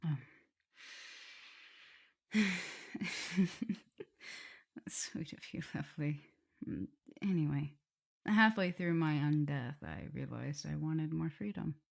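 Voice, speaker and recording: A woman acts out a loving, nervous vampire mistress for an ASMR roleplay. Her voice sits very low for a woman, with heavy vocal fry. She talks haltingly and intimately, easily drifting toward a whisper. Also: heavily acted. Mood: reflective. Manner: hesitant, halting, breaking into whispers and soft laughs. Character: tender, nervous, guilt-ridden female vampire mistress in love.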